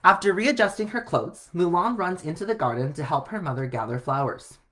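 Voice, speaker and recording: A man reading a children's story aloud in an expressive way. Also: a little high, brisk. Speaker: storybook reader.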